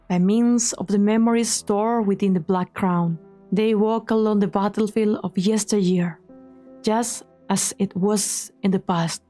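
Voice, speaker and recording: A woman reading scripted dramatic story narration in a measured, solemn way. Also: medium pitch, dark tone.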